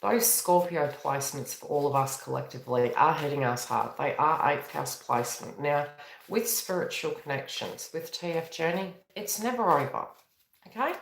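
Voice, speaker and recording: A woman's voice: deep voice, animated, moderate pace, gravelly, roomy sound, slight background noise, poor audio. A woman with a New Zealand accent, a tarot reader and astrologer on a live stream, talking in a tired, rambling, conversational way with many self-corrections. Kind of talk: live-streamed spiritual reading.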